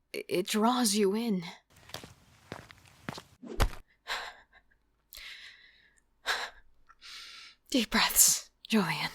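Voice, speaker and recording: A woman's voice: mid-range voice, a bit bright, slightly rough. A woman acting as a hard-edged female killer and thief, narrating and living through her ordeal in a horror audio drama with tense, dramatic delivery. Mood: hushed, uneasy.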